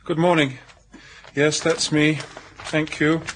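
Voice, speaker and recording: An actor playing a polite, eager young gentleman in a radio drama. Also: fairly high pitch, level tone, takes it slowly, a little hiss or hum. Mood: polite, surprised. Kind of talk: British radio drama acting.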